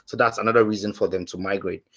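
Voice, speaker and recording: A low-voiced man from Cameroon talks over a video call, his voice slightly muffled and lo-fi. He speaks earnestly, pausing often with fillers and restarting his sentences. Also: steady tone, a bit bright. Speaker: panelist. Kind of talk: personal testimony on a webinar panel.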